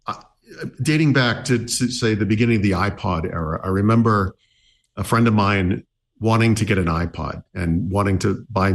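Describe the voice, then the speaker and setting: A man's voice: on the low side, creaky. A middle-aged man co-hosting a podcast, reflecting at length in casual chat with many fillers. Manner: reflective, storytelling, frequent fillers. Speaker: podcast co-host.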